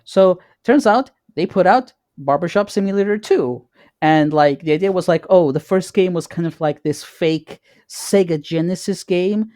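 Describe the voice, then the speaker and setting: A man co-hosting a podcast, chatting informally and haltingly, often repeating words as he goes. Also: high-pitched, darkish tone, dry recording, studio quality. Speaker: podcast co-host. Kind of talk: casual chat.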